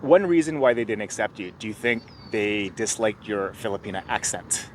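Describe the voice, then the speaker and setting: A man interviewing a guest in a relaxed, encouraging way, asking many questions. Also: on the low side, moderate pace, a little hiss or hum. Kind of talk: casual interview.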